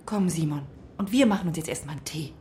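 A woman's voice: low-pitched, fast, natural voice. A woman playing a caring but firm mother in a German audio drama.

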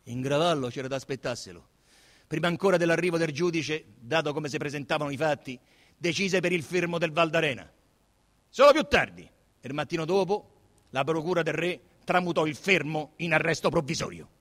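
A man with a Roman accent, an actor giving an intense, theatrical reading of a literary novel, swinging between hushed murmurs and loud declamation. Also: on the high side, animated, brisk.

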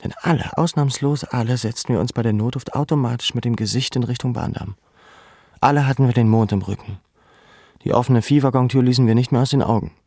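A man playing a camp survivor who recalls his deportation in measured, sober, reflective first-person narration. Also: mid-range voice, darkish tone, no echo, clean studio sound, natural voice. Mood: sober, uneasy. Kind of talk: literary first-person narration of an audio drama.

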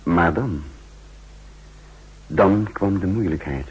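Husky deep spy telling his story, reflective, old radio drama. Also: slow, a little mellow, exaggerated voice, low-quality recording. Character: thoughtful, troubled male double agent narrating his story. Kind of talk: 1960s Dutch radio play acting and narration.

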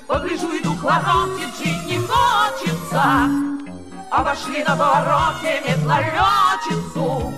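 A woman playing a world-weary fairy-tale villain, singing theatrically in a musical audio play. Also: very high voice. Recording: loud music behind.

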